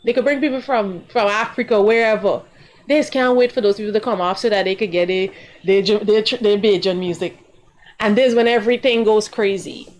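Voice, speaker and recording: A woman from Guyana speaks as a guest singer-songwriter in a relaxed interview. She talks at length and with emphasis. Her pitch often jumps upward when she stresses a point. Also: fairly quick. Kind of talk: casual interview chat.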